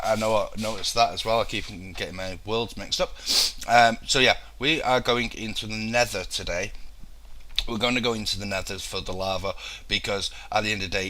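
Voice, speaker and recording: Low-voiced young man, rambling. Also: bright, forceful tone, a little hiss or hum, poor audio. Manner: rambling, many ums and restarts. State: getting a throat infection. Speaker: let's play host. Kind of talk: casual gameplay commentary.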